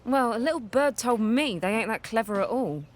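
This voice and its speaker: Woman doing poor working class townswoman, slangy, highish voice, lively pitch, audio play satire. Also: moderate pace, lightly acted. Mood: chatty, then meek. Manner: colloquial, plain-spoken.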